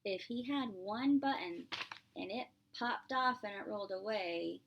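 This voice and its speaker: A woman preschool teacher reading aloud to young children, expressive and playful, explaining simply and asking questions as she goes. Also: medium pitch, dull audio, background noise. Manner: expressive, drawn-out, playful exclamations.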